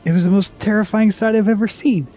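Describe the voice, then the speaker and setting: A man's voice: high-pitched, steady tone, quick pace, dark tone, faint music behind. A man playing a shaken, defeated young warrior in a fantasy radio drama, giving his report in a dramatic, fervent manner. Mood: shaken, grave.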